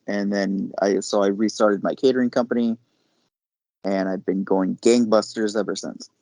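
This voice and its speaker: A man, probably middle-aged, talking casually as a podcast guest, explaining things in a halting, reflective way. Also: fairly low pitch, steady tone, gravelly, warm tone, no echo. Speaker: podcast guest, chef and caterer. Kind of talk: casual interview chat.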